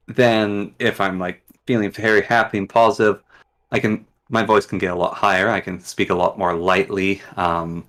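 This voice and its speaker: A man hosting a casual conversation, talking in a relaxed, reflective way. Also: sits low. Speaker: podcast host.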